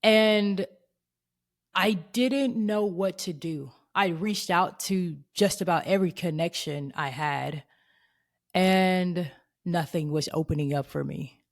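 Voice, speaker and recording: A woman's voice: on the low side, slow pace. A woman in her thirties hosting a faith podcast, talking in an earnest, reflective way. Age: 30s. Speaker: podcast host.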